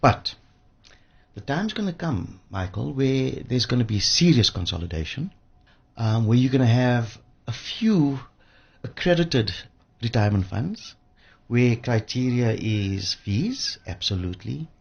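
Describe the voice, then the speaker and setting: A man talks as an interview guest with a rough, husky voice. His pitch swings in a lively way as he stresses points by repeating words. The recording is slightly lo-fi. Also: a little slow. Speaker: studio guest, pension fund expert. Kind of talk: conversational expert interview.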